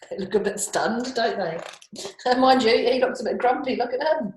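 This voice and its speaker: A New Zealand woman hosts a children's storytime. Her voice is on the high side and swings expressively, with unhurried reading broken by chatty questions to the listeners. The room is noticeably echoey. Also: slight background noise. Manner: questioning asides to young listeners, chuckling. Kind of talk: picture-book read-aloud with chatty asides.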